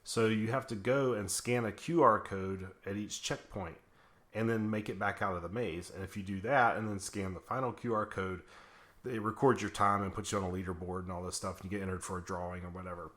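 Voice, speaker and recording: Slightly hoarse man. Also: lowish voice, fairly even intonation, a little fast, a bit bright. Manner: rambling, many fillers and restarts. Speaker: podcast host. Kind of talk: casual chat.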